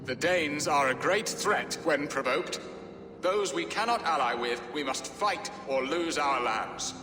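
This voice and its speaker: Theatrical, swooping Saxon lord. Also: male voice, on the high side, lively intonation, unhurried. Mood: lordly. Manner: grandiose, wry, rhetorical delivery. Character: blustering middle-aged Saxon lord, jovial and theatrical. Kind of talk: dramatic video game dialogue. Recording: echoey hall reverb with music.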